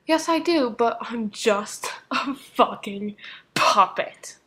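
A woman's voice: on the high side, lively intonation, takes it slowly, bright, forceful tone, exaggerated voice. A woman voicing a gloomy, irritable male puppet in a cartoon comic dub, with a weary, sulky delivery. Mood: sad, bitter.

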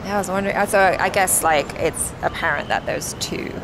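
A woman's voice: lowish voice, a little fast, vocal fry, background noise. A young adult woman hosting a reaction show, giving casual commentary into a headset in a small studio. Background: game music.

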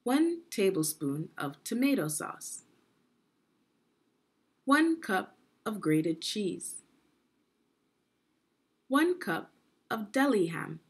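A middle-aged woman with a slightly husky voice, giving a deliberate, instructive read-aloud lesson for young children. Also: mid-range voice, very animated. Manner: deliberate, instructive, with pauses and questions. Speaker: education consultant reading aloud to children.

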